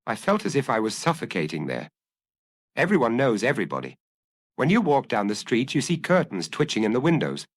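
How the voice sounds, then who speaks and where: A man's voice: medium pitch, huge pitch swings, a little fast, no room sound. A man voicing a troubled young Frenchman in an audiobook, melancholy and hesitant as he explains himself earnestly. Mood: wistful, explaining. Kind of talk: dramatised audiobook dialogue.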